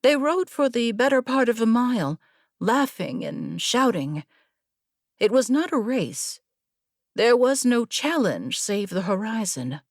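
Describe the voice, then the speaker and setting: A woman reading scripted fiction as an audiobook narrator, in a measured, deliberate, dramatic storytelling manner. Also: a little high, very animated, no room sound, studio quality.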